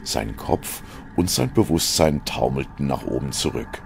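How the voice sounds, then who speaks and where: Male sci-fi audiobook narrator: extremely low, gravelly, dramatic delivery with lively intonation. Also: a little slow. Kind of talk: dramatic scripted science fiction narration. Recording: music behind the narration. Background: music.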